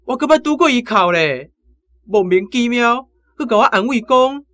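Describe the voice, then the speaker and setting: Sneering gossipy Taiwanese soldier. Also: male voice, very high voice, animated, moderate pace, sharp tone, full character voice. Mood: scoffing. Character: gossiping, sneering young male army soldier. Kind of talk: radio drama dialogue.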